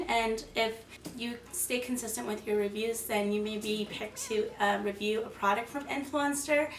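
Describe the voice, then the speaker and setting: A young woman with a fairly high, hoarse voice gushes about makeup, with plenty of fillers. She sounds set back from the camera mic. The small room adds a noticeable echo. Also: a bit bright, far from the mic, slight background noise. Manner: chatty and enthusiastic, many fillers. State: hoarse, losing her voice. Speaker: beauty vlogger. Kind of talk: casual product review. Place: small room, plain wall. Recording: echoey room, slightly off-mic.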